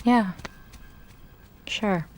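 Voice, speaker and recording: A woman playing a friendly but easily exasperated young girlfriend in a video game, girlish and conversational. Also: drawn-out words. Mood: hurt, resigned. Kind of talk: video game cutscene acting.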